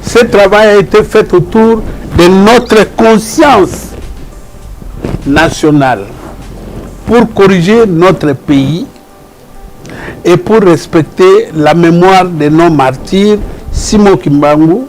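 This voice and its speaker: A man with a Congolese French accent speaking as a professor in an interview, arguing emphatically and deliberately, repeating phrases for emphasis. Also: sits high, a little mellow, faint music behind, rough recording. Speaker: professor, guest and spokesperson. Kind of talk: earnest interview argument.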